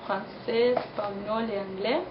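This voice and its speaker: A woman's voice: mid-range voice, fairly even intonation, unhurried. A teenage woman from Argentina, a Spanish speaker, giving a prepared account as a student of French. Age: teens. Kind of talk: prepared personal testimony in French as a learner. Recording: muffled recording with clear noise.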